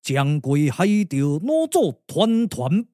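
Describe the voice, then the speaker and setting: A man voicing a calm, confident elder general with a Taiwanese accent, in measured, formal puppet-drama declamation. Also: fairly high pitch, no room sound, clean studio sound. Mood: commanding, reassuring. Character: calm, confident strategist general Sun Bin, an elder uncle.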